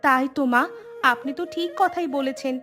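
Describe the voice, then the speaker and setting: A woman's voice: high voice, a little fast, sharp tone, soft background music. A woman playing a young village daughter-in-law in a cartoon story, competitive and eager to please, with a deferential yet boastful manner. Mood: apologetic. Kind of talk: scripted cartoon story acting.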